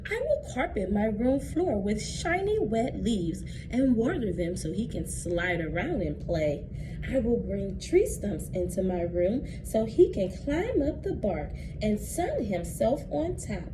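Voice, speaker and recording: A woman voicing an eager, nature-loving young boy from a storybook in an earnest, deliberate reading. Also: medium pitch, sing-song. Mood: eager, dreamy. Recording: clearly noisy background.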